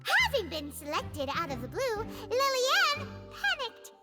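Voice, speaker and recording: An actress voicing a tiny, excitable female fairy companion who tells events with theatrical bounce, over game music. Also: extremely high pitch, moderate pace, full character voice. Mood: dramatic. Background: game score music.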